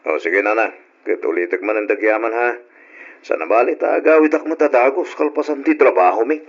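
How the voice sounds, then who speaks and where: A man's voice: medium pitch, a little fast, husky. Mild young family man, muffled sound. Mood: affectionate, easygoing. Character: hardworking, affectionate young father, respectful son. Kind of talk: radio drama acting. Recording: dull lo-fi radio recording.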